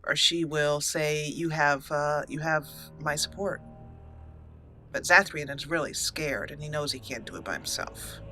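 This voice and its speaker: A woman hosting a let's play, narrating in a theatrical, deliberate roleplay style with dramatic pauses. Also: deep voice, bright, forceful tone, faint music behind. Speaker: gaming channel host.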